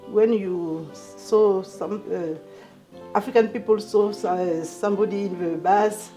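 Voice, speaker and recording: A middle-aged woman from Ivory Coast with an Ivorian French accent, telling her own story in halting, limited English as a native French speaker. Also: medium pitch, dark tone, soft background music. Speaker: documentary interviewee, newcomer immigrant. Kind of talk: personal account in an interview.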